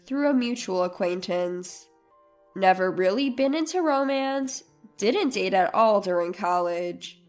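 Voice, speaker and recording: A woman with a fairly high voice narrates a first-person story. She reads at a slow, measured pace, and soft music plays underneath. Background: soft background music.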